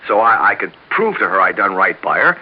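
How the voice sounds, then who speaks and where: An actor playing an earnest, apologetic young American ex-convict, halting and deferential, in an old-style radio drama. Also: male voice, on the low side, sing-song. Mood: earnest, hopeful. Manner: halting, stammering, pleading, deferential. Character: earnest, apologetic young ex-convict husband, eager to prove himself. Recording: dull, hissy old radio recording.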